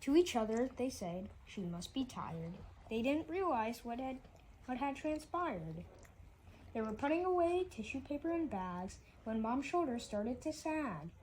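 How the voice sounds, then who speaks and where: A woman with a fairly high voice reads a rhyming story for children. She trips over words and goes back to repeat them. Noticeable noise runs behind her reading. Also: big pitch swings, a little mellow, low-quality recording. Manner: halting reading, often stumbling and repeating words. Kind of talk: read-aloud rhyming children's storybook narration.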